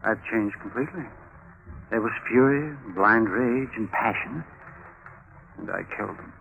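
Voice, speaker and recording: A thin, faintly noisy old radio recording of a timid, eager little fellow confessing to a crime. He gropes hesitantly for words, with a pitch that swings widely. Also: lively intonation, unhurried, gravelly, narrow, tinny audio. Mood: timid pride. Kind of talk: 1950s radio drama acting.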